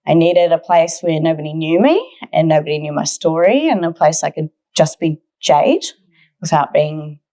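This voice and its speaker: Young kiwi woman chatting as a podcast guest, bit low pitched, earnest and keen. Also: lively intonation, moderate pace, dark tone. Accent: New Zealand. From Auckland, New Zealand. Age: 20s. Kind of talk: conversational personal storytelling.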